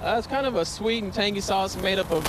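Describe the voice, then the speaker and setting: A man's voice: high voice, fast, background noise. A man speaking casually in a TV interview as a food company representative and cook.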